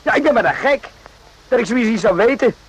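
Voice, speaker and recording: A man's voice: very high-pitched, animated, fairly quick, a little hiss or hum. A man acting in a radio drama as an evasive, boastful, naive army private, hesitant and repeating himself. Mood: flustered, evasive.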